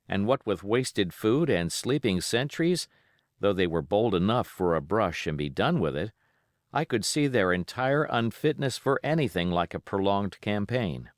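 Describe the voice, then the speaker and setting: A man's voice: lowish voice, no echo, clean studio sound. A man reading a classic adventure novel aloud as a scripted audiobook narration.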